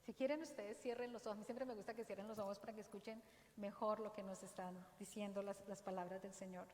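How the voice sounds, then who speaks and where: A woman with a Dominican accent gives an earnest, exhorting faith talk in a church, speaking slowly and deliberately. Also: medium pitch, fairly even intonation, darkish tone, slight background noise. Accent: Dominican Spanish. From Dominican Republic. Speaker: parish speaker. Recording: roomy church echo, faint ambience.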